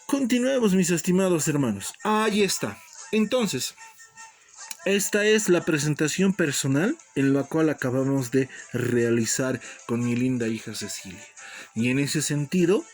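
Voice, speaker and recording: A man teaching an Aymara lesson, repeating each phrase slowly and calling his students brothers and sisters. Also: fairly high pitch, lively intonation, soft background music. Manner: slow, deliberate repetition of phrases. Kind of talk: online language lesson.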